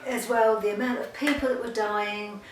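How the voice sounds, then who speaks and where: A woman's voice: medium pitch, steady tone, normal speed. Blunt woman in her seventies, echoey room. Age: 70s. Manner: blunt, outspoken. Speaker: interviewee. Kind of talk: casual interview answers. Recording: echoey room, faint noise.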